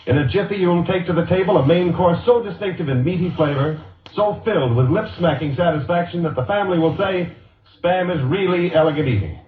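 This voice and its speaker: A man's voice: on the high side, a little fast, recorded from a distance. An American man reading 1940s radio advertising copy with upbeat, emphatic salesmanship. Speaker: radio commercial announcer. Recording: boxy vintage radio recording, echoey, narrow band.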